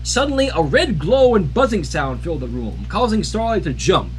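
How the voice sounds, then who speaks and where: An expressive, informal livestream reader reading fan fiction aloud with casual reactions. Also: mid-range voice, moderate pace, faint music behind.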